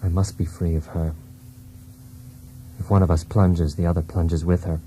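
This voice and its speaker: An actor playing an anguished, dependent husband in a failed marriage, in a brooding, introspective radio drama monologue. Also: male voice, deep voice, level tone, normal speed, warm tone, a little hiss or hum, natural voice, poor audio. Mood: resolute, conflicted.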